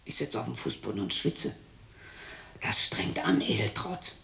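A lonely, embittered widow in her sixties in a radio drama. Her voice is very husky and heavily creaky, often sinking toward a whisper. She broods and talks to herself slowly and haltingly. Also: rumbling low, very animated, a bit bright, heavily acted. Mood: weary, matter-of-fact. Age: 60s. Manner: muttering, brooding, erratic, halting. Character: lonely, embittered sixty-year-old widow talking to herself. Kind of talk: radio play monologue.

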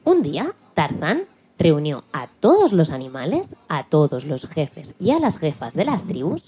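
A woman's voice: low voice, huge pitch swings, unhurried, mellow, breathy edge, faint music behind, full character voice. A woman narrating a children's radio play as a storyteller, reading from a script.

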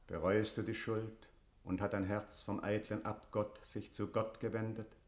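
An actor playing a devout, insistent priest hearing confession, in solemn, declaimed verse for a classical radio drama. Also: male voice, lowish voice, flat delivery, lightly acted. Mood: grave questioning. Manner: solemn, measured, liturgical declamation.

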